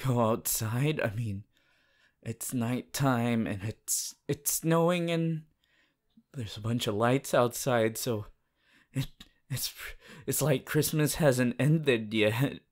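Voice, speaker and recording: A shy, lovestruck teenage boy hero from an anime, played by a man, speaks straight to the listener in a romantic roleplay. He is awkward and flustered, halting and stammering through his words at a very slow pace. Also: mid-range voice, darkish tone, natural voice. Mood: flustered, affectionate.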